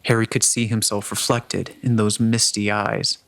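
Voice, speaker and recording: A man's voice: fairly low pitch, little pitch movement, normal speed, creaky, a bit bright. A man reading scripted story narration as the narrator of a dramatic reading.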